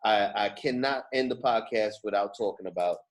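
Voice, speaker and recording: A man's voice: fairly low pitch, normal speed, a little hiss or hum. A man hosting a podcast, chatting casually and emphatically, often repeating his phrases for stress. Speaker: podcast host. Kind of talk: casual podcast interview chat.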